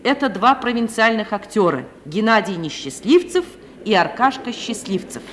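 A woman's voice: medium pitch, sing-song, normal speed. A woman reading scripted scene narration for a mid-century radio play. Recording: faintly noisy 1950 radio recording.

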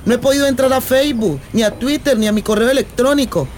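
A woman's voice: a little high, fast, a little mellow, a little hiss or hum, close to an everyday voice. An actress playing a romantic, adventurous woman in love, with a Colombian accent, in a radio drama. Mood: excited, storytelling. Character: romantic, adventurous woman devoted to distant lover.

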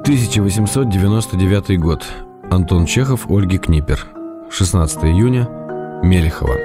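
A man's voice: deep voice, expressive, normal speed, loud music behind the voice. An actor playing an ironic, tender male writer who reads his letters with playful mock-drama. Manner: teasing mock-dramatic irony. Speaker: letter reader. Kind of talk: acted reading of letters in a radio play.

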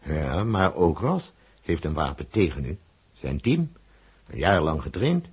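A challenging, doubtful doctor in an old radio play. The voice is very deep and rough, with dramatically swinging intonation. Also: male voice, huge pitch swings, unhurried, full character voice. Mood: doubting, challenging. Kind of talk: 1960s Dutch radio drama acting.

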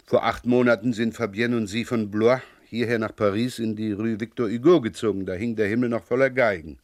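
An actor playing a polite but persistent, dryly sceptical police inspector in a German crime radio play, unhurried and given to hesitant repetitions. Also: male voice, lowish voice, steady tone, no echo, studio quality, natural voice. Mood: politely probing.

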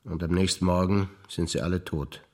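A male stage actor reciting personal memories in a measured theatre performance, over music. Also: low-pitched, fairly even intonation. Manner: measured, alternating lines of remembrance.

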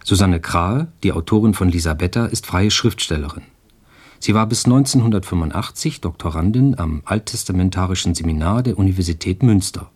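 Deep slightly raspy guy doing a scripted radio intro. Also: brisk, a little mellow. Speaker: radio presenter.

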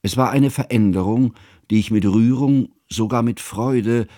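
A man's voice: medium pitch, unhurried, dark tone, no echo, clean studio sound, natural voice. A man playing a grave, bookish, sorrowful older chronicler, narrating formally and solemnly in a literary radio play. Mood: grave, tender.

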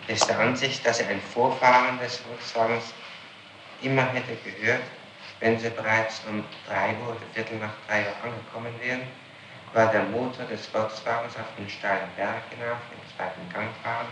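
A man's voice: medium pitch, fairly even intonation, sharp tone. Insistent male prosecutor on noisy, echoey tape. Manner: halting, insistent. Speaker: prosecutor questioning a suspect. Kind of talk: formal interrogation.

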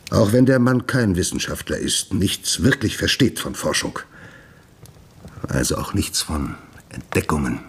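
An actor playing a troubled, secretive gentleman scientist in a German radio play, urbane, condescending and evasive. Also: low voice, very animated, unhurried, husky, full character voice. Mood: amused, disdainful.

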